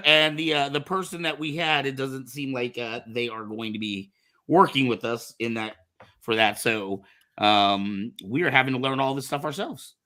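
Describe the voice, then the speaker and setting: A man hosting a talk show, chatting casually in an animated, giggly and excitable way. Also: medium pitch, normal speed. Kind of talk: casual podcast chat.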